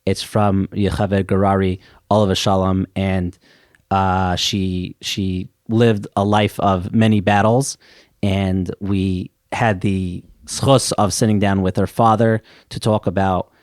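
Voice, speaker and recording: A man's voice: low-pitched, level tone, slow, darkish tone, no room sound, clean studio sound. A man hosting a podcast in a casual, conversational way, somewhat hesitant and repeating words as he goes. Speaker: podcast host.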